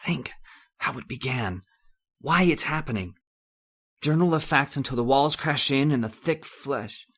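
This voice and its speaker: A man's voice: medium pitch, animated, measured pace, a bit bright, slightly rough. A man playing a haunted, domineering chemist in radio horror, with broken, repetitive, brooding speech. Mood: haunted, despairing. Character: haunted, obsessive male chemist, domineering and bitter. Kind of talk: old-time radio horror acting.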